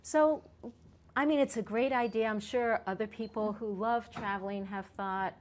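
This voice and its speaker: Eager, slightly high-voiced woman host. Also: fairly even intonation, moderate pace, darkish tone. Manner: enthusiastic, eager questions with restarts. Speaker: talk show host. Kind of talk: interview hosting. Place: small studio set, indoors.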